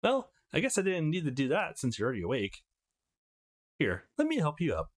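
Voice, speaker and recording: Visual novel best friend, girl of eighteen performed by a man: hyper, impish, perky, very animated intonation. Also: a little high, a little fast, pitched above the performer's own voice. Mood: apologetic, playful.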